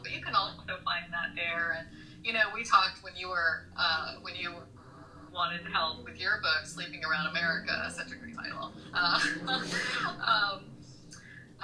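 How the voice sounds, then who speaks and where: A woman's voice: on the low side, sharp tone, a little hiss or hum, low-quality recording. A woman speaking as a travel writer guest in a conversational interview, chatty and explanatory.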